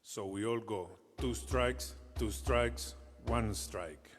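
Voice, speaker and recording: A man from Venezuela teaching a music rhythm to children, in a halting way that restarts words. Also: lowish voice, long reverb tail. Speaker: percussion teacher, guest performer.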